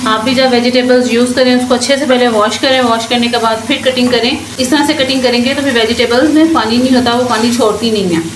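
A woman's voice: on the high side, steady tone, fast talker, hardly any pauses. A woman hosting a home cooking channel, explaining each step in a chatty, instructive way and often adding short blessings. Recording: echoey kitchen with frying noise. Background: frying and stirring sounds.

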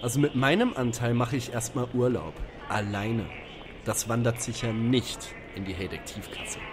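An actor playing a grumpy, sarcastic young detective in a parody radio play. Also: male voice, medium pitch, normal speed, music in the background, close to an everyday voice. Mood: grumbling.

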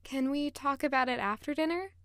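A woman's voice: high voice, brisk, fairly bright tone, no room sound, clean studio sound. A young woman playing an earnest, emotional young noblewoman in a romantic fantasy audio drama, hesitant and sincere. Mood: shy.

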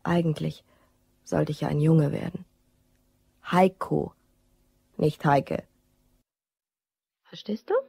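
An actress playing a cool, suspicious, quietly menacing woman in a German crime radio drama, pointed and dry. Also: low voice, steady tone, slow pace, vocal fry, pauses often, husky, no room sound, full character voice. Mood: cool, reminiscing. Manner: pointed, dry, matter-of-fact.

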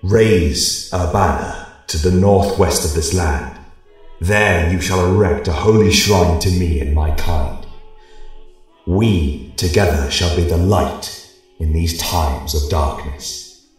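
A man's voice: sits low, slow. A man voicing a solemn, commanding god of light in grand, declamatory fantasy storytelling, with heavy reverb on the voice. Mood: grand, bestowing. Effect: heavy echo reverb. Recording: heavily echoing, distant voice. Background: choral music.

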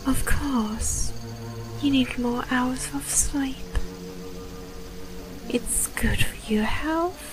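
A woman voicing a motherly female python, sly and doting, in a breathy, sultry, half-whispered fan dub. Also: high-pitched, extremely slow, long pauses. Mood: fond, soothing. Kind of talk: fan dub voice-over, slow storytelling. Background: music and chirping birds.

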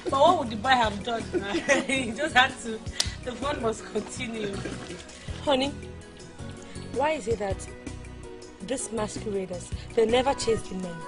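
Actress doing a young nigerian wife in a film drama, outspoken and expressive, highish voice, music behind her. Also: a little slow, natural voice. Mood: animated, indignant. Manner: expressive, exclamatory.

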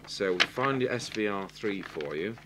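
An English man with a low-pitched voice talks through a technical repair in a matter-of-fact, informal way. He thinks aloud as he works at an indoor bench. The recording carries a faint background hum and noise. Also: expressive, moderate pace. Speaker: radio repair technician, presenter. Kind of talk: informal hands-on repair demonstration commentary. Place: indoors at a workbench.

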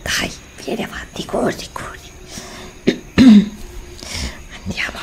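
A woman's voice: medium pitch, wildly swooping pitch, slow. A middle-aged woman whispering an ASMR roleplay, pleading and anxious, recorded indoors on a desk studio mic. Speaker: ASMR creator. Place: indoor room before a green screen.

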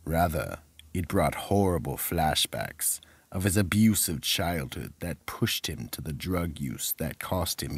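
A man narrating a scripted mystery horror anthology as host, ominous, deliberate and dramatic. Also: a bass voice, slightly rough, no room sound.

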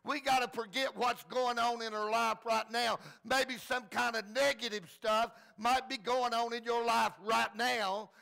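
A man's voice: very high-pitched, flat delivery, a little slow, vocal fry, few pauses, pushed and bright. A man preaching a fervent sermon in a folksy, plain-spoken way, repeating phrases for emphasis. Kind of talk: fervent sermon retelling Bible stories.

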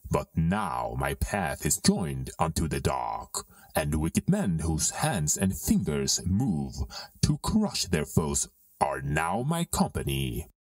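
A menacing, commanding dark lord in a Shakespearean audio drama. His voice is extremely low, swings dramatically in pitch and takes its time over each archaic line, set a little back from the mic. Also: very animated, no echo, distant mic, exaggerated voice. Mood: brooding. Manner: slow, declamatory, archaic verse delivery.

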